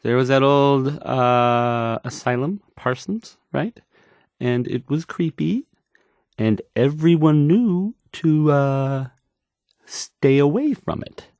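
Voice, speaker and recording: An actor playing a nervous, bumbling, insecure male radio DJ in a video game, with a halting, stammering, unsure delivery. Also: mid-range voice, expressive, darkish tone, clean tone, no echo, studio quality. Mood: awkward, unsure. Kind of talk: in-character radio news broadcast, video game voice acting.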